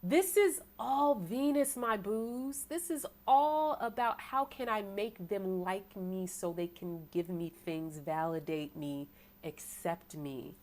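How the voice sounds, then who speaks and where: An American woman with a deep voice giving a tarot sermon, preaching and asking "right?" again and again. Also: a little mellow. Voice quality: self-described deep voice. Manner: preachy, rhetorical, asks "right?" often, bursts of fast talk. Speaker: tarot reader. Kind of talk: tarot sermon to an online audience.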